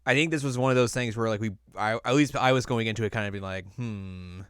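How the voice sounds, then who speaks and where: A man's voice: on the low side, fast talker, clear, resonant tone, no echo, studio quality. A man co-hosting a podcast in casual chat, with an informal manner and wry asides. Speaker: podcast co-host. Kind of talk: casual chat ranking video game movies.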